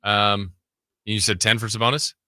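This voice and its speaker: An American man hosting a sports radio show in casual banter, animatedly thinking out loud as he goes. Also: on the low side, flat delivery, moderate pace, no room sound, studio quality.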